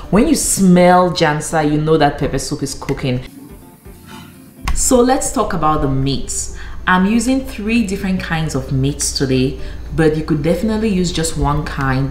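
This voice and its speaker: A woman's voice: deep voice, a little mellow, slight background noise. A woman from Cameroon in her thirties hosting a cooking tutorial in her home kitchen, eagerly explaining each step as she cooks. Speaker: cooking show host. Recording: echoey kitchen with faint music.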